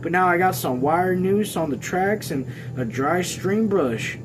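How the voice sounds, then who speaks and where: A man voicing an awkward, earnest rural hunter from a novel, halting and folksy in his wording. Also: high-pitched, expressive, background noise, pitched above the performer's own voice. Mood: ashamed, earnest. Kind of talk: audiobook-style character reading. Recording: music behind.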